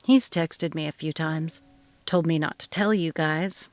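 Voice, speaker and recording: Story role: dutiful young man woman performing, restrained, sober delivery, lowish voice, music bed. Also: a little slow, no echo. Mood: matter-of-fact. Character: responsible, earnest young adult son James.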